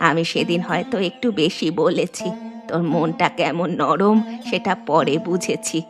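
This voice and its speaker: A woman voicing an affectionate old mother-in-law in an animated moral story, speaking tenderly with homely advice. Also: on the low side, a little slow, close to an everyday voice. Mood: reassuring. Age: older. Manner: tender, advising, plain homely speech. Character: old Bengali mother-in-law, affectionate, gentle, home cook. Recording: music behind the voice.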